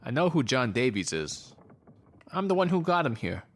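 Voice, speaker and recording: An actor playing a brash, nostalgic, hard-drinking old friend in an audio drama. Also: male voice, mid-range voice, sing-song, moderate pace. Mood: cocky.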